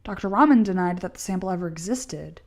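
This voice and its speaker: A woman's voice: lowish voice, quick pace, a little mellow, lightly acted. A woman in an audio play acting an earnest, sceptical young mycology student who speaks precisely and emphatically, sounding unsettled.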